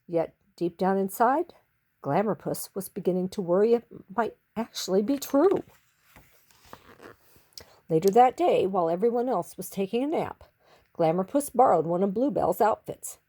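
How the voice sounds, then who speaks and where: Animated woman storyteller. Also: medium pitch. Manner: theatrical, with drawn-out emphasis on key words. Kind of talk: expressive children's story narration.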